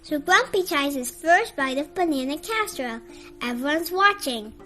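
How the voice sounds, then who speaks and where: An expressive storyteller reading a picture book aloud for small children, with animated, dramatic delivery. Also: sits high, normal speed, soft background music.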